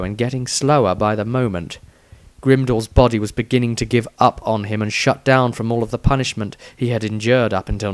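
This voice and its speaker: A man's voice: on the low side, no room sound. A man reading a scripted fantasy adventure aloud as a storyteller, with dramatic emphasis. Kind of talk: scripted audiobook narration.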